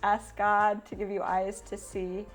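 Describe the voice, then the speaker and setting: Earnest Canadian woman, unhurried and reflective. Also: mid-range voice, level tone, faint music behind. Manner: earnest, unhurried, frequent ums. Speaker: diocesan creation care enabler. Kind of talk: reflective interview answers.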